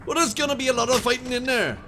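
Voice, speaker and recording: A man's voice: very high voice, very fast, sharp tone, soft background music, heavily acted. A man playing a plucky, plain-spoken sailor in a video game, with a rustic, matter-of-fact manner. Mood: determined, matter-of-fact. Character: plucky male sailor whose family was abducted. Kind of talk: video game character dialogue.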